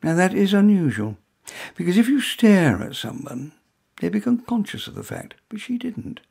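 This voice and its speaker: Husky, lively English male storyteller. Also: high voice, moderate pace. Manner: wry, droll, conversational asides. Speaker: author reading own short story. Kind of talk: first-person humorous story narration.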